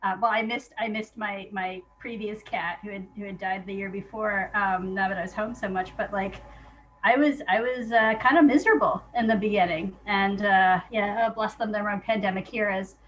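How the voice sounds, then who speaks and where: A woman's voice: mid-range voice, fairly even intonation, moderate pace, fairly bright tone, highs cut off. A woman speaking as an interview guest in a casual, reflective way, candid and hesitant, often restarting her thoughts. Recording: noticeable background noise.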